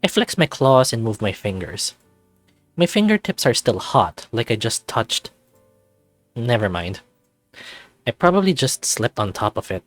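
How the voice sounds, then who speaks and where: A man's voice: medium pitch, very animated, measured pace, pauses often, dry recording, faint music behind. A man voicing a sarcastic, anxious young furry student in a visual novel, narrating in a wry, self-deprecating, conversational way. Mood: groggy, annoyed. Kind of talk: visual novel character voice-over.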